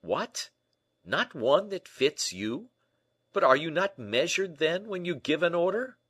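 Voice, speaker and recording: A man voicing a shrewd, brisk gentleman in an audiobook, animated, questioning and a little impatient. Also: mid-range voice, no room sound, voice pushed up. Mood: astonished. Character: D'Artagnan, brisk, shrewd, exclaiming gentleman friend. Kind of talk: audiobook character acting.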